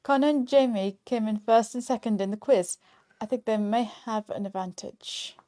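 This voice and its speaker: A woman co-hosts an informal live show. Her voice sits fairly high but has a very mellow, dark tone with no hard edge. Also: normal speed. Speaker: show co-host. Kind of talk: casual live show presenting.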